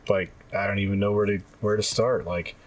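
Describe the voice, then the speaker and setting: A man's voice: on the low side, fairly even intonation, brisk. A man co-hosting a podcast, talking casually about retro games, recorded at a distance from the mic. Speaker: podcast co-host. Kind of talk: casual chat about retro game prices. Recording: distant mic, noticeable background noise.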